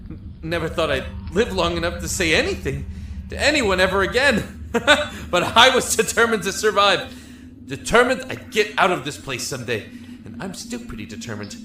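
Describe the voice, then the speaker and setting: An actor playing a lonely, emotional young man in a prison cell in an audio drama, talkative and halting. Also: high voice, very animated, pushed and bright, a little hiss or hum, heavily acted. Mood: rueful, determined. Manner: halting, rambling, effusive. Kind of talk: audio drama acting with first-person narration. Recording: echoey room with music behind.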